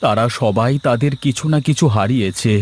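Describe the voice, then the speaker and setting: A man's voice: mid-range voice, a little fast, darkish tone, natural voice. A man playing a poor, worried village husband in a cartoon folk tale, speaking in a plain, emphatic way. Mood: earnest explaining. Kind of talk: cartoon dialogue voice acting. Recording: music behind the voice.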